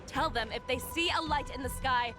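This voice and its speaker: A woman's voice: sits high, normal speed, natural voice. A woman playing a resolute, confident young female general in a video game, speaking formally and with authority. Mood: confident, determined. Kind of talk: dramatic video game voice acting. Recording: game music behind the voice.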